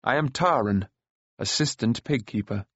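A proud young farm lad from a fantasy storybook, read by a man, indignant and ready to stand up for himself. His middle-pitched voice sits close and dry. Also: moderate pace, a bit bright, breathy edge, no room sound, lo-fi sound. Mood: curt, polite. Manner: indignant, defiant. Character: proud, hot-tempered teenage farm boy, assistant pig keeper.

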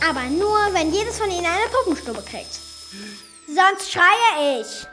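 An actress playing a spoiled, stubborn little princess in a children's audiobook drama, demanding and defiant. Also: female voice, very high voice, big pitch swings, a little slow, over music, heavily acted.

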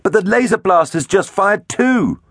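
A man's voice: deep voice, moderate pace, dry recording, studio quality. A man voicing an anxious, alert female dinosaur crew officer in a children's story, with a worried, urgent manner. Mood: gasping.

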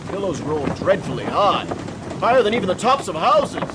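A seasoned, fatherly sea captain speaks with big swings in a very high voice. It is radio drama acting, with loud wind and sea effects behind him. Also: sing-song, brisk. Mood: steady, kindly. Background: wind and waves.

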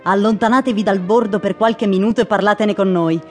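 A woman playing a brisk, skeptical radio phone-in host in a radio drama, professional and placating. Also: medium pitch, fairly even intonation, faint music behind, close to an everyday voice. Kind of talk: naturalistic radio drama acting.

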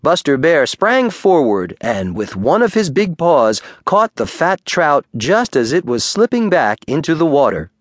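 Animated man reading a children's story. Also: fairly high pitch, dry recording, studio quality. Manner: expressive, deliberate storytelling. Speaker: audiobook narrator. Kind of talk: children's bedtime story narration.

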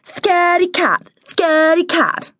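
A woman's voice: extremely high pitch, expressive, a little slow, a bit bright, dry recording, studio quality, voice pushed up, exaggerated voice. A woman voicing a bratty little girl in a children's story, sneering and whining. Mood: taunting.

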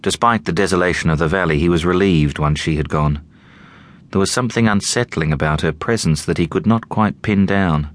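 A man narrating an audiobook in a measured, deliberate storytelling manner. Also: low voice.

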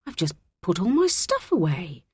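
Woman playing an earnest young schoolgirl, lively pitch. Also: moderate pace, slightly rough, no room sound, exaggerated voice. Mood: protesting. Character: friendly, earnest ten-year-old schoolgirl, readily indignant.

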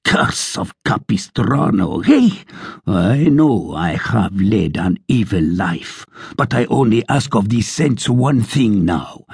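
Storybook figure: a man doing a loud, boastful sergeant, gravelly and husky, big swooping jumps. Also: fairly low pitch, sing-song, slow, no echo, full character voice. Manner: bellowing, mocking, boastful. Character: blustering big male soldier sergeant, loud.

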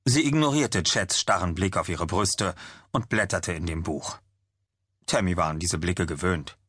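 A man with a very low voice narrates a fantasy audiobook. The recording is dry and close, with no room around the words. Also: a little slow, a bit bright, slightly rough, dry recording.

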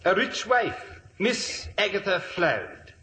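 An actor playing a polite, fortune-hunting English earl in radio comedy, courteous and a little hesitant in a plummy manner. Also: male voice, sits high, wildly swooping pitch, takes it slowly, over loud music, exaggerated voice. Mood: genteel, smooth. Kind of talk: 1940s-50s radio comedy acting. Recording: roomy, very noisy old radio recording.

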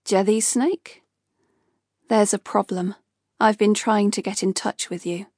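A flippant, sweary old sorcerer, read by a woman, tossing off blunt remarks with a dry, gravelly edge. He sounds unbothered and crude, never too serious. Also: medium pitch, steady tone, measured pace, darkish tone, no room sound. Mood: offhand.

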